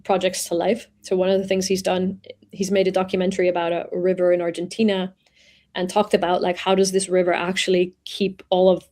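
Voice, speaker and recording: Woman in her forties, from Venezuela, interview guest, thoughtful, unhurried, mid-pitched voice. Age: 40s. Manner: reflective, searching for words, gentle pace. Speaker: guest, executive coach. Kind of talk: conversational interview.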